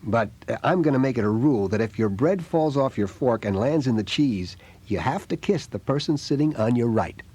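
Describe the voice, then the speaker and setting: A man's voice: medium pitch, animated, normal speed, mellow, slightly rough. A man playing a kindly, genial divorced father in an audio drama, fatherly and encouraging in manner. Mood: playful.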